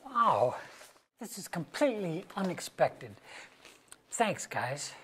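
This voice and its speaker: A woman's voice: lowish voice, big pitch swings, slow pace, fairly bright tone, a little hiss or hum, exaggerated voice. A woman reading the part of a wry, self-deprecating sixty-year-old husband in a staged comedy. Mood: wry, grateful. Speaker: actor in a staged play reading. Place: museum auditorium stage.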